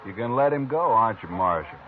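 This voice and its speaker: Rough western avenger, voiced by an actor, short threats, crackly old radio line. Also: male voice, mid-range voice, expressive, quick pace, natural voice. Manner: curt, rough-spoken. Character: grim vengeful frontier man, blunt and threatening. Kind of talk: 1950s radio drama acting. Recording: tinny hissy old radio broadcast.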